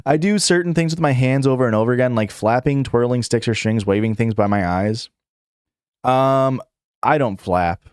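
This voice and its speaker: A man's voice: medium pitch, moderate pace, darkish tone, smooth, dry recording, studio quality. A young adult man streaming live, reading questions aloud and answering in a rambling, casual way full of fillers and restarts. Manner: rambling, filler-heavy, self-correcting, chuckles.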